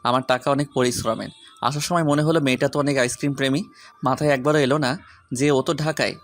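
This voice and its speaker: A man's voice: medium pitch, fairly even intonation, fast, fairly bright tone, natural voice. A man playing a stern, brooding young romantic lead in an audio story. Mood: stern, teasing.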